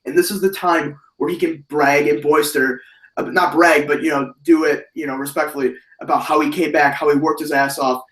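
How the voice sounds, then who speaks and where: A hoarse man hosts a casual wrestling chat, rambling and restarting his sentences as he gets animated. His voice sits a little high for a man and rings around a roomy space, picked up from a distance by the mic. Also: brisk. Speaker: wrestling podcast host.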